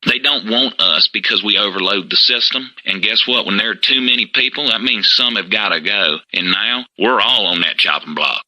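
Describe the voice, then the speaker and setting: An actor playing an insistent, suspicious conspiracy-minded caller phoning in to a radio show. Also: male voice, lowish voice, normal speed, hardly any pauses, pushed and bright, low-quality recording. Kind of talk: radio call-in acting.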